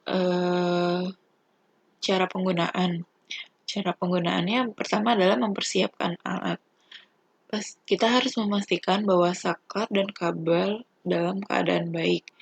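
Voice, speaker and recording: A young adult woman, a student giving an instructional presentation in a halting, deliberate, step-by-step way. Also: on the low side, vocal fry, breathy edge, no echo. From Indonesia.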